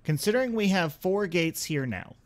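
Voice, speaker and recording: A man's voice: high voice, lively intonation, normal speed. A man gives casual let's-play commentary as a gaming host, thinking aloud and talking through each step.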